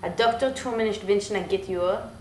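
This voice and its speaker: A woman's voice: mid-range voice, a little fast. Woman reading short sayings, roomy echo. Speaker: reader of Yiddish proverbs. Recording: roomy echo with background noise.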